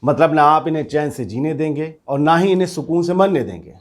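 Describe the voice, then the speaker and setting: A man's voice: fairly high pitch, expressive, brisk, lightly acted. A man acting as a reasonable office worker in a satirical drama, questioning and challenging in an earnest, sardonic way. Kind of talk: scripted satirical dialogue.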